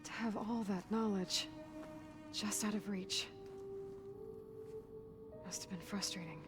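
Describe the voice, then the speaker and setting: An actress playing a steady, probing young heroine in a video game, direct and measured, blunt but caring. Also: female voice, mid-range voice, lightly acted. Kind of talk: video game dialogue acting. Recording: music behind the voice.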